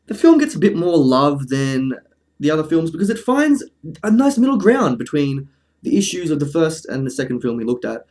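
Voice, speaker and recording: A man's voice: fairly high pitch, darkish tone. A man presenting casual film commentary in an informal, chatty, slightly wry way. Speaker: presenter of a film review video essay.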